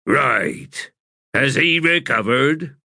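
A man voicing a bossy, irritable army corporal in an audiobook, barking rough soldierly talk. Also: medium pitch, animated, extremely slow, sharp tone, no room sound, studio quality, pitched above the performer's own voice, heavily acted. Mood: impatient.

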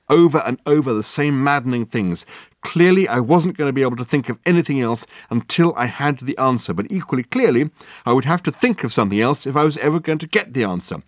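A man voicing a pompous, excitable male detective in an audiobook, with grandiloquent, emphatic and self-satisfied delivery. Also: medium pitch, dry recording, studio quality, pitched above the performer's own voice, close to an everyday voice. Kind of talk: acted audiobook dialogue.

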